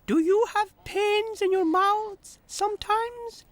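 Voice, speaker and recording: A squeaky, eager little farm machine. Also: male voice, slow pace, slight background noise. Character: small eager helpful male farm machine.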